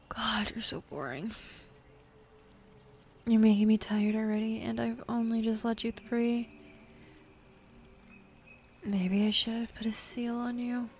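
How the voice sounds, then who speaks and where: A woman's voice: on the high side, slow, pauses often, a little mellow. A woman voicing a bossy, teasing demon in an intimate ASMR roleplay, sardonic and drawling, easily bored, slipping into whispers. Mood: bored, irritated. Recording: faint ambient forest background noise.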